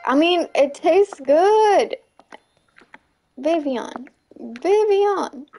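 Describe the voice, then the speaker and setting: A woman's voice: very high voice, expressive, very slow, warm tone, soft background music. A young woman streaming a game, chatting casually with viewers and laughing often. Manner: chatty, laughs often, repeats "you know what I'm saying". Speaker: livestreamer. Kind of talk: casual chat while gaming, reading chat.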